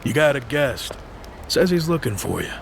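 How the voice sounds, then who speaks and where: A streetwise lookout in a game dub. His voice is mid-pitched and his manner is helpful and slangy, with faint outdoor noise behind him. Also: big pitch swings, moderate pace, gravelly. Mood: casual, helpful. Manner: casual, slangy. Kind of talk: English video game dub acting.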